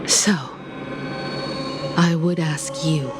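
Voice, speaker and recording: A grave young game heroine haunted by tragedy. Her voice sits on the low side and moves at an unhurried pace, measured and somber, with music loud behind her. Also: fairly even intonation. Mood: cold, reproachful. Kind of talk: dramatic video game voice acting.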